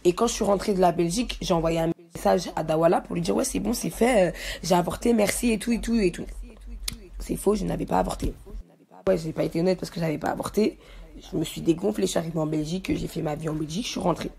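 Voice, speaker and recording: Defiant young woman, creaky voice. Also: on the low side, fast talker, mellow. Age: young adult. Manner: defiant, emphatic, repeats herself for emphasis. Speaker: social media personality telling her side of a story. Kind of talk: casual, slangy personal rant to followers.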